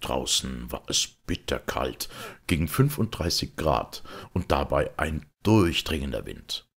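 A man reading a literary story aloud as an audiobook narrator, measured and expressive. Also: extremely low pitch, gravelly, husky. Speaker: audiobook reader.

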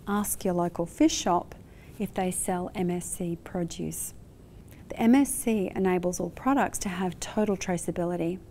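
A woman in her forties with an Australian accent, presenting a scripted science lesson to camera in a measured, explanatory way. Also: medium pitch, mellow, a little hiss or hum. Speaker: marine biology professor presenting. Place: indoor lab studio with aquarium.

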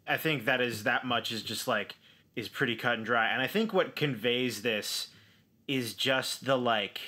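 A man talks through his character during a tabletop game. His voice is bright and forceful, mid-pitched, and his delivery is slow and halting as he searches for words. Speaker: player in a tabletop role-playing game. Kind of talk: improvised description of a character in actual play.

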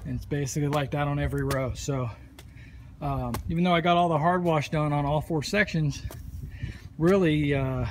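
Man, fairly high voice, matter-of-fact walkthrough, outdoor phone recording, clear traffic noise behind. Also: moderate pace. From United States. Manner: plain, matter-of-fact, with fillers and restarts. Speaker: pressure washing contractor. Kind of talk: casual walkthrough narration. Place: outdoor high school stadium stands.

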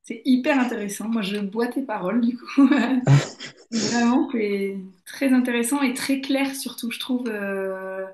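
A woman's voice: fairly high pitch, unhurried, noisy background. Woman host on a roomy video call. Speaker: interview host. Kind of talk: casual interview chat. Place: bright living room. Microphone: laptop on video call.

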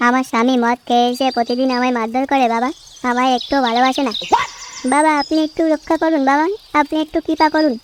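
Woman playing a high-pitched, imploring village wife. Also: fairly even intonation, fast talker, background noise, lightly acted. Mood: desperate pleading. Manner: imploring, reverent. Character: pleading, devoted, naive village wife. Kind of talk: comedy cartoon voice acting.

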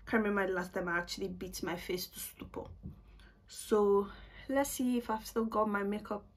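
A woman's voice: mid-range voice, fairly even intonation, normal speed. A woman in her twenties chatting casually through a makeup tutorial at home, self-mocking and repeating words for emphasis, recorded by a camera at desk distance. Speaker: beauty vlogger. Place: small home room, indoors.

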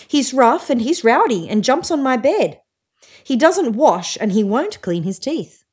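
A woman's voice: sits high, sing-song, measured pace, darkish tone, husky, voice pushed up, full character voice. A woman voicing a sly, earnest little schoolgirl from a storybook in an emphatic, sing-song way. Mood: insistent. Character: little schoolgirl, sly, earnest, insistent about her monster.

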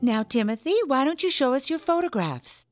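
A woman voicing a kindly, encouraging kindergarten teacher in a children's cartoon, with a gentle teacherly manner. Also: high-pitched, sing-song, moderate pace, faint music behind. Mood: gentle, reassuring. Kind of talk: children's animated cartoon acting.

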